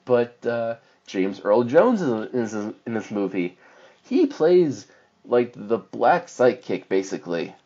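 A man's voice: medium pitch, slow. A man co-hosting a film podcast, rambling casually through a movie recap. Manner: rambling, animated, slips into silly put-on voices for characters. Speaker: podcast co-host reviewing films. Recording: dull lo-fi podcast audio.

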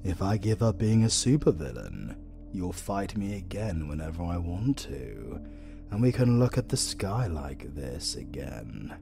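A man's voice: deep voice, big pitch swings, vocal fry, warm tone, faint music behind, heavily acted. A British man playing a flirtatious, sardonic supervillain in a romantic comedy audio roleplay, drawling and teasing theatrically. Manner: slow, drawling, teasing, dramatic.

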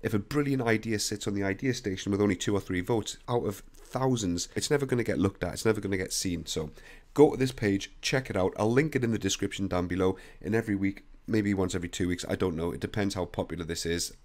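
A young man with a croaky voice, bluntly talking through a CAD software screen recording. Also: fairly low pitch, quick pace. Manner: blunt, opinionated, conversational. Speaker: CAD software tutorial host.

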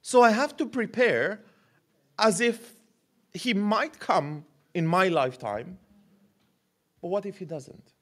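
A man's voice: sits high, takes it slowly, pauses often. Man in his thirties preaching at a church podium. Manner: animated, gesturing, repeats words when building a point. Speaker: pastor. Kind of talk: sermon. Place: church hall with curtained stage. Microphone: podium mic.

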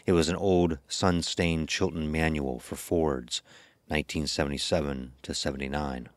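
Male narrator for scripted horror fiction, exceptionally deep register, backed by music. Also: steady tone, normal speed, no room sound.

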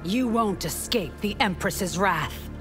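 A woman voicing a devout, fiercely loyal royal guard in a video game, indignant and forceful. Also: mid-range voice, expressive, takes it slowly. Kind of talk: video game character dialogue. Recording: long reverb, faint music.

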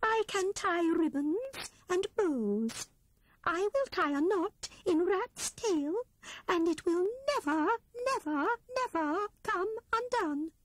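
A woman voicing a timid, excitable female squirrel with a squeaky, trembling voice in a storybook reading. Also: slow pace, no room sound, exaggerated voice, poor audio. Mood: insistent.

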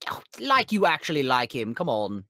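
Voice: mid-range voice, sing-song, normal speed, sharp tone, no echo, studio quality. A performer playing a vain, snide alien tyrant in a fan question show, with smug, sardonic, offhand delivery. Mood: smug, gloating.

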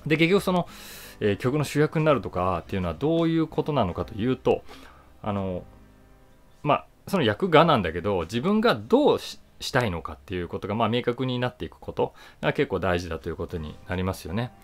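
A man's voice: medium pitch, sing-song, brisk, soft background music. A man in his forties thinking aloud in a casual, reflective monologue to camera, stressing key words and trailing off into asides. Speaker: actor and voice actor hosting his own channel. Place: small indoor room, seated before camera.

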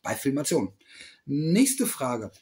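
Rambling middle-aged man with lively pitch. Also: slow. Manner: rambling, self-correcting, teasing. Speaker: toy collector vlogger. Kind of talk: casual quiz vlog. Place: small room indoors.

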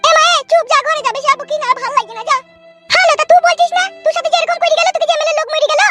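A voice performer playing a shrill, nagging mother in a cartoon, who scolds her husband without pause. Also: fairly even intonation, sharp tone. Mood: scolding. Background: background music.

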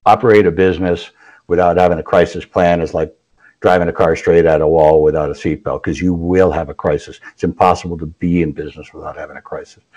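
A man's voice: sits low, darkish tone, poor audio. A man in his sixties, a consultant guest on a video call, answering earnestly and briefly. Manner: earnest, brief replies, quick laughs. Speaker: crisis management consultant, guest. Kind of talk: interview answers. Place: home office, video call.

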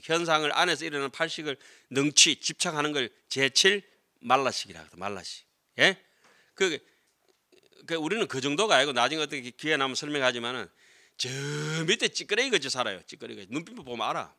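Very bright-voiced middle-aged monk lecturing. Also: male voice, fairly high pitch. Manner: conversational, explanatory, frequent tag questions to listeners. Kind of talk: classroom lecture on Buddhist scripture. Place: lecture room with seated audience, indoors. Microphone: desk gooseneck microphones.

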